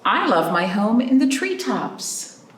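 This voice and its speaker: Animated woman hosting a children's storytime. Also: a little high, slow. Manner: animated, addresses children with simple questions. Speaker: children's library storytime host. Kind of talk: picture-book reading and chat for young children. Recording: roomy, distant mic with background noise.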